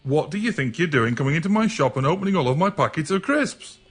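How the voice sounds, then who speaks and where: An exasperated shopkeeper played by a man in a comedy sketch. He is indignant and sarcastic, with very lively intonation and a brisk delivery. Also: a little high, faint music behind.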